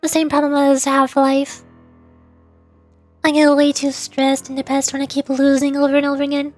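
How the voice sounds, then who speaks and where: High-voiced girl streamer just rambling and chatting with chat, soft music in the back. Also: monotone, moderate pace. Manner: rambling, chatty, answers viewers' questions. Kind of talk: casual livestream chat.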